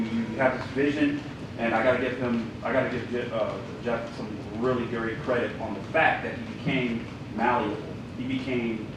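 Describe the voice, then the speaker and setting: A man from the writing group speaks up on a panel, pressing his point hard and circling back over the same words. The sound is distant and very roomy. A clear rumble sits behind him. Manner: emphatic, repeating himself. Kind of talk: panel comment. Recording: echoey room from far off with rumble.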